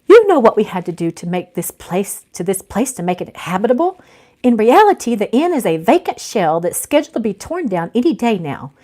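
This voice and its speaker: A woman's voice: medium pitch, very animated, brisk, warm tone. A woman voicing a dry, cautious, easily alarmed female ghost in a storybook. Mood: worried. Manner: dry, fretful.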